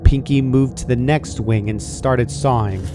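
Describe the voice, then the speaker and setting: A man's voice: mid-range voice, measured pace. A man reading a scripted story aloud as its narrator. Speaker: fan fiction narrator. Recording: clearly noisy home recording.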